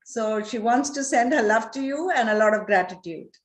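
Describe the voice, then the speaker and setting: A woman's voice: on the high side, expressive, normal speed. Indian woman moderator in echoey room. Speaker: moderator and interpreter. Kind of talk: moderating an online question session. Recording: echoing room sound.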